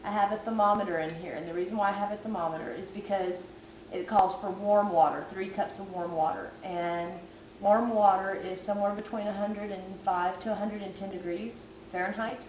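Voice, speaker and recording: A woman's voice: mid-range voice, steady tone, a little fast, hardly any pauses, darkish tone. A woman who is losing her voice gives a chatty, self-deprecating cooking tutorial at home. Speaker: vlogger. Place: home kitchen at night. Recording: echoey kitchen, far from camera, background noise.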